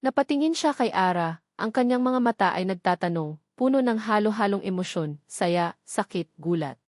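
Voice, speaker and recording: A woman's voice: medium pitch, expressive, moderate pace, darkish tone, no echo, clean studio sound. A woman narrating a scripted dramatic love story.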